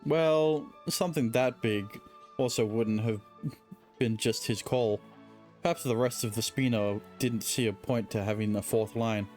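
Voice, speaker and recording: Male game streamer, medium pitch, casual read-aloud and reactions, game music behind. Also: a little slow, darkish tone.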